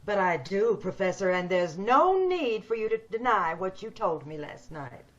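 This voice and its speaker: A woman's voice: mid-range voice, animated, moderate pace, natural voice. A woman playing a bitter, wealthy, commanding woman in an old-time radio drama. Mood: coldly insistent. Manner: cold, insistent, commanding. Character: wealthy, determined, bitter ugly woman scheming for a new body. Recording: dull video-call audio.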